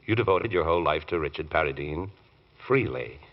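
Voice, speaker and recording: A wry, confident barrister in a vintage radio play. His voice is extremely low, his delivery dry and understated, on a muffled old recording. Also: lively intonation, fairly quick. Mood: assured, courteous. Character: suave, wry, self-assured male barrister. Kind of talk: 1940s radio acting.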